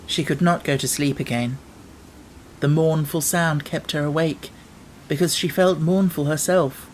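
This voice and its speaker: Guy reading a classic storybook, measured expressive narration, slight background noise. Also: on the high side, rough recording. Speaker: audiobook narrator.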